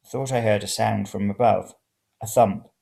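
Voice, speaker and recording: A man's voice: fairly low pitch, fairly even intonation, normal speed, creaky, mellow. A man reading a first-person story aloud. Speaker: reader of serial fiction.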